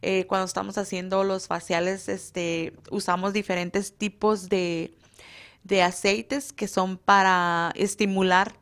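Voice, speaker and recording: A woman with a mid-range voice explains her school's training in a relaxed radio chat. She hesitates often and fills pauses while hunting for words. Her first language is Spanish. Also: mid-range voice. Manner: hesitant, many fillers, searches for words. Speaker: beauty school instructor. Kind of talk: casual radio interview.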